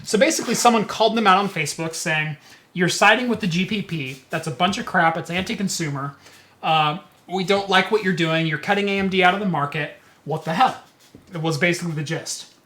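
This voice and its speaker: A man hosting a relaxed tech talk show, chatting casually and reading quotes out loud with a joking edge. His voice sits fairly high and sounds bright. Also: brisk. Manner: reads quotes aloud, joking. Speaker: podcast host. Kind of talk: casual chat.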